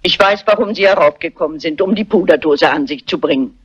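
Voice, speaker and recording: An actress playing a shrewd, unflappable elderly lady amateur sleuth in a crime film, polite and matter-of-fact, with a husky voice. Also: low-pitched, brisk. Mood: accusing, composed. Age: older. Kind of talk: German dubbed crime film dialogue. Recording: dull, muffled old film soundtrack.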